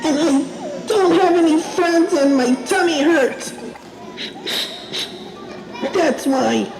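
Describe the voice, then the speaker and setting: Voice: high voice, slow pace. A performer voicing an upset little boy in a puppet show, whining through a run-on list of complaints. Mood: upset, whiny. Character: upset little boy ranting about his woes. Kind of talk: theatrical puppet show storytelling. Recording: echoey live show, audience and music behind.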